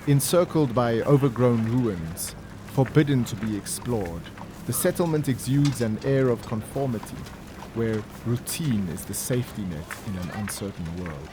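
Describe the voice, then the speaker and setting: A man giving solemn, measured, scripted narration for a dramatic audio story. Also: medium pitch, animated, low-quality recording. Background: music and ambience.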